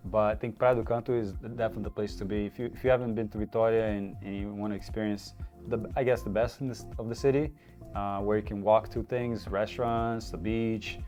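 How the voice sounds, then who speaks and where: A man from Brazil answers interview questions in a relaxed, hesitant way, pausing to find his words. His voice is low, with faint music underneath. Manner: hesitant, relaxed, with fillers and restarts. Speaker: interview guest. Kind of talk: casual interview. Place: co-working space.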